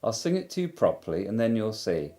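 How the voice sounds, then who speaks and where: A man voicing a cheerful, muddled and simple young bear in a storybook reading, slow and sing-song with humming. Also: fairly low pitch, sing-song, mellow.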